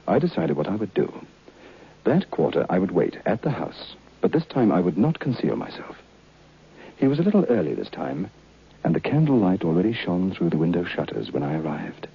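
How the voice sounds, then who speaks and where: A man playing an earnest, polite gentleman narrator in an old-time radio drama. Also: low voice, vocal fry, husky, heavily acted. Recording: muffled, faintly noisy old radio recording.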